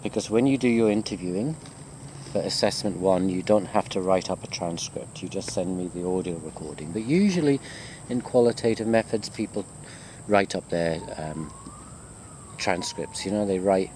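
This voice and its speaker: A man's voice: sits low, warm tone, slight background noise. A man lecturing informally to his students in a walking video chat, self-deprecating and conversational, rambling as he goes. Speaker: university lecturer.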